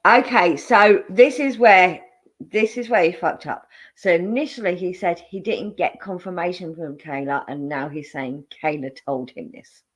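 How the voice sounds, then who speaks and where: A woman's voice: on the low side, measured pace. A woman co-hosting a live stream, analytically picking apart a story, sometimes restarting her sentences. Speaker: co-host. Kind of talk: casual live stream commentary.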